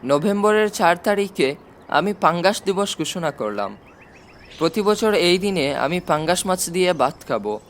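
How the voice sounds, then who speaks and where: Man playing army chief uncle, storybook, fatherly, confident, reflective, high male voice, slight background noise. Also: sing-song, normal speed. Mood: content, reminiscing. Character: army chief uncle, affectionate, confident, older than his listener. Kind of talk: storybook reading.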